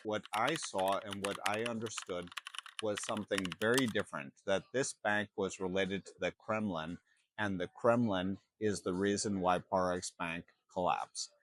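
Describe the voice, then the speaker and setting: A man's voice: low-pitched, moderate pace, a bit bright, dry recording, rough recording. A middle-aged American man from Chicago, a former banker, explaining his story earnestly in an interview. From Chicago, USA. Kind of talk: interview and walking tour. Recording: music playing under the voice.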